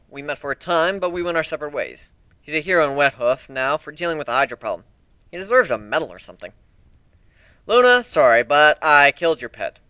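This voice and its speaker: A man's voice: fairly high pitch, pushed and bright, no echo. A man playing a sarcastic, cocky griffin pirate in an amateur story reading, with a flippant manner. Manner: flippant, sardonic.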